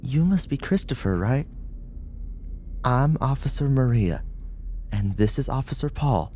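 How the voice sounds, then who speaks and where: A man voicing a composed young female police officer in an audiobook, with a gentle manner. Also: medium pitch, expressive, measured pace, slight background noise, voice pushed up, close to an everyday voice. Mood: gentle, reassuring.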